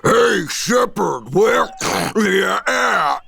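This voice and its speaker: A man's voice: high voice, animated, drawn-out words, vocal fry, sharp tone, breathy edge, heavily acted. A man voicing a blustering, bullying pig henchman with taunting street-tough talk in a table read. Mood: menacing taunts. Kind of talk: live table read acting.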